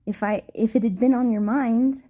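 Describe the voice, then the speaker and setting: A woman playing a gentle, playful ghost girlfriend in an intimate romantic audio roleplay, speaking softly and formally with halting hesitations. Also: fairly high pitch, fairly even intonation, close to an everyday voice. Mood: touched, tender. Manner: soft, hesitant, formal wording with halting stammers. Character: gentle playful ghost girlfriend, shy, tender, old-fashioned speech.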